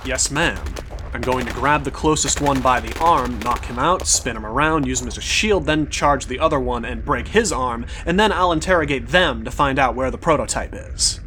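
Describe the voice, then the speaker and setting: An actor playing a gruff, exasperated male security chief in an audio drama. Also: mid-range voice, normal speed, bright, forceful tone, a little hiss or hum, natural voice. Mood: confident. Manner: exasperated, blunt.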